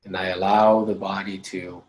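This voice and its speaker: A man leading a guided meditation as instructor, speaking calmly in short measured phrases with long pauses. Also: low voice, rough recording.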